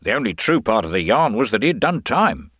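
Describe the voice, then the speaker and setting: A man's voice: mid-range voice, big pitch swings, a little fast, fairly bright tone, slightly rough, no room sound, clean studio sound, pitched above the performer's own voice. A man playing a brusque, sceptical police inspector in a detective radio drama, blunt and emphatic. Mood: dismissive.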